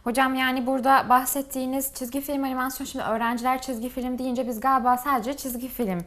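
A woman hosts an interview in a fairly high voice. She talks quickly and courteously, in the polite style of a broadcast presenter. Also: level tone, fairly bright tone. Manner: courteous, with frequent short acknowledgements. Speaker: TV programme host, interviewer.

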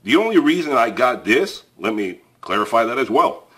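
A man's voice: medium pitch, big pitch swings, moderate pace, fairly bright tone, husky. A man hosting a tool review channel, talking casually to the camera with plenty of fillers and restarts while handling a tool on a table. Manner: chatty and plain-spoken, frequent fillers and restarts. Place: indoors at a table, filmed from above.

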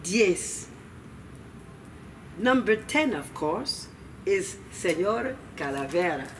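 A woman's voice: fairly low pitch, sing-song. A woman voicing a wily, cheerful old grandmother in a storybook, emphatic and drawing things out to stall. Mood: delighted. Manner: sing-song, emphatic, drawn-out stalling. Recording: distant mic, noisy room ambience.

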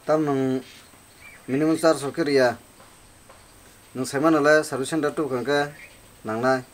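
A man's voice: medium pitch, fairly even intonation, a little slow, close to an everyday voice. A young man with a Kokborok accent acting in an amateur short film, playing a villager who wants his motorbike fixed. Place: outdoor roadside shop shelter.

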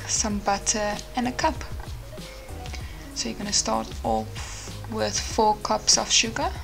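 Female vlogger, twenties, fairly high pitch with creaky fry, informal and direct, over clear background music. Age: 20s. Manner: informal, addressing viewers directly. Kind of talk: casual vlog chat and recipe voice-over.

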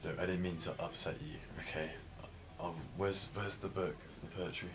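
A man's voice: sits low, steady tone, moderate pace, background noise, lightly acted. An actor playing a frustrated young male student, speaking informally and swearing in a small bedroom. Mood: coaxing. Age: young adult. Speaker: film actor. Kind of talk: naturalistic film dialogue.

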